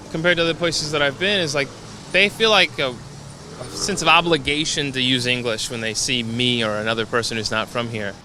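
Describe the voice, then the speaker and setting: Man, casual rambling answers, mid-pitched, loud street noise. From United States. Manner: relaxed, rambling with many fillers. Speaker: interviewee, former English teacher. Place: outdoors on a city street.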